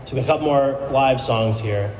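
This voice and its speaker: A man's voice: medium pitch, brisk, a little mellow. An American man hosting a radio show in a casual, conversational style. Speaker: radio host. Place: live radio broadcast at a public plaza. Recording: echoey hall, distant mic, music behind.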